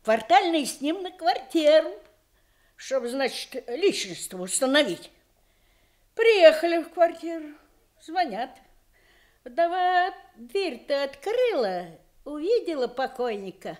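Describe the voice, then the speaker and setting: A woman's voice: high voice, big pitch swings, slow pace, exaggerated voice. An actress playing a gossipy, motherly older housekeeper in a radio drama, talking in an emphatic, homespun way. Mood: relishing gossip. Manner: colloquial, emphatic, storytelling housewife talk.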